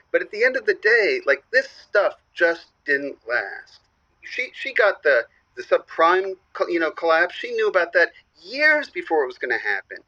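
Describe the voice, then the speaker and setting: A man's voice: a little high, bright, forceful tone, dry recording, highs cut off, heavily acted. An actor playing an earnest, hesitant caller whose life is run by his mother's equations, talking with many restarts. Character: male caller Lewis ruled by his mother's math. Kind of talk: improvised comedy call-in radio acting.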